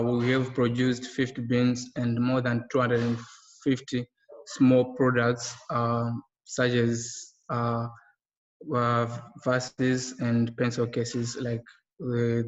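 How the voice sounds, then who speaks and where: A young man goes over his project's goals on a webinar call, halting and full of filler sounds. He speaks in an almost unchanging monotone. The call audio is muffled and lo-fi, with a hall-like echo. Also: mid-range voice, a little hiss or hum. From Zimbabwe. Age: young adult. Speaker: project co-founder presenting. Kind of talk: webinar slide presentation. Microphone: video call. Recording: echoey, dull lo-fi webinar audio.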